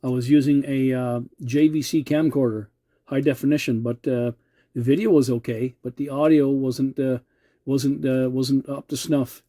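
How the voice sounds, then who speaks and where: Older-ish guy doing relaxed radio chat, really soft dark tone, dry close sound, polite and hesitant, repeats himself. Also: mid-range voice, moderate pace, no room sound. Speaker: amateur radio operator hosting a video channel. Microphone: cell phone filming the radio.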